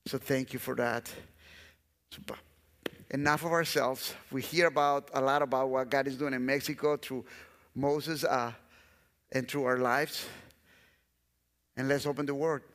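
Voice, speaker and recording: A man's voice: mid-range voice, steady tone, a little slow, long pauses, fairly bright tone. Guest pastor, Guatemalan man, middle-aged, Spanish-accented English, mid-range voice, earnest and repetitive, in a reverberant sanctuary. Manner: earnest, repeats phrases, walks the stage. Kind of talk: sermon. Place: large church stage. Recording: long church hall reverb.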